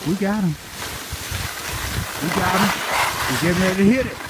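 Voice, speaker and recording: A middle-aged man commentating in short repeated phrases to viewers while exerting himself on a ski run. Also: high-pitched. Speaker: skier filming his own run. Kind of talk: live first-person action commentary to viewers. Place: outdoors on a ski slope. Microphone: handheld phone or camera. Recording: loud wind noise on handheld camera. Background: wind and rushing ambience.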